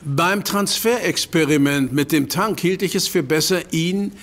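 An actor playing a calm, formal professor in an audio drama, speaking in a measured way. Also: male voice, on the high side.

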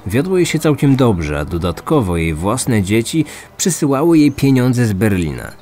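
Male true-crime narrator, mid-pitched. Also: big pitch swings, moderate pace, warm tone, slight background noise. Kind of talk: scripted storytelling narration.

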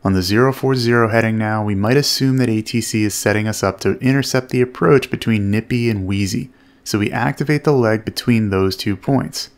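Male instructional narrator, measured and explanatory, noticeable vocal fry. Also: on the low side. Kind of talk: explanatory instructional commentary over flight footage.

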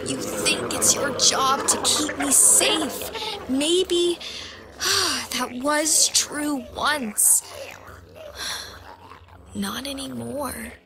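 A woman's voice: sits high, very animated, extremely slow, pauses often, a crowd in the background, full character voice. An actress playing a feisty, exasperated young superhero wife and mother in a motion comic, emphatic and scolding. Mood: firm, resigned. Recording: loud music under the voice.

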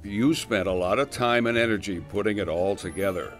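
A man delivers scripted voice-over narration in an upbeat, lightly joking way. His voice sits somewhat low. Soft music plays underneath. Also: a little fast.

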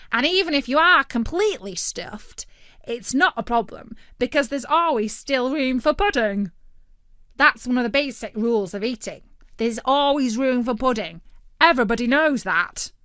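A woman voicing a greedy, brash male turkey who talks loudly and eagerly. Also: high voice, no echo, voice pushed up. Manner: loud, eager, bossy.

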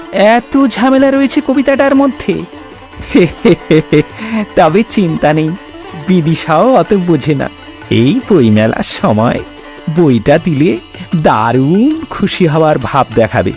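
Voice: mid-range voice, a little mellow. A performer voicing a cheeky, carefree young neighbourhood lad in a cartoon comedy, with an exaggerated drawl. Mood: surprised, then carefree. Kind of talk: animated cartoon comedy dialogue.

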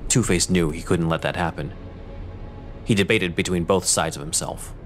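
A man's voice: deep voice, fairly even intonation, moderate pace, slight background noise. A man narrating an audio drama in a scripted, dramatic style.

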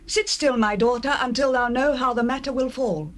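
An actress playing an older, calm biblical mother-in-law in a dramatized Bible, measured and advising. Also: high voice, natural voice. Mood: calm, assuring. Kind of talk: dramatized King James Bible acting.

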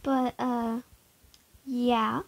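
A woman's voice: fairly high pitch, flat delivery, extremely slow, vocal fry. A young woman casually talking through her horse barn in a game, hesitant, full of fillers and often dropping into a whisper.

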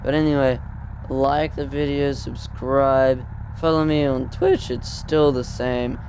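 A man's voice: medium pitch, fairly even intonation, takes it slowly, darkish tone, a little hiss or hum, low-quality recording. A man giving casual, off-the-cuff commentary as a gaming video host, in a hesitant, rambling way. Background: car engine sounds from a driving game.

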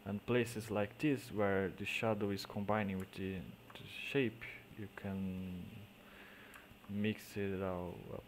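A man with a Portuguese accent, a native Portuguese speaker, explaining digital painting step by step in halting English, often trailing off into quiet mutters as he works. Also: fairly low pitch, level tone, slight background noise. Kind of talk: screen-recorded painting tutorial.

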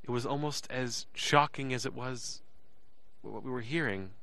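A man's voice: mid-range voice, normal speed, vocal fry, sharp tone, dry recording. An actor playing an uneasy, earnest young man who narrates his own story in an audio drama. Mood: reflective, stunned.

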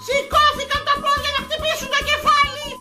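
Shrill, squeaky scolding Greek mother. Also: level tone, bright, forceful tone, soft background music, exaggerated voice. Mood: exasperated scolding. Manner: nagging, exasperated, theatrical guilt-tripping. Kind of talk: comic recital of stock parental catchphrases.

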